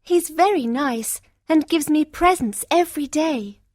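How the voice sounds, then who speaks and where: A woman playing a kind, gentle young heroine in a simple fairytale, speaking sweetly and politely. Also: high-pitched, a little slow, no room sound, clean studio sound. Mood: grateful, content. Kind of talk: fairytale storybook dialogue for English learners.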